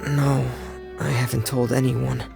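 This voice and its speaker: An actor playing a shy, withdrawn, earnest teenage boy in a video game, hesitant and soft-spoken. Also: medium pitch, level tone, measured pace, vocal fry, over music. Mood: uneasy, earnest.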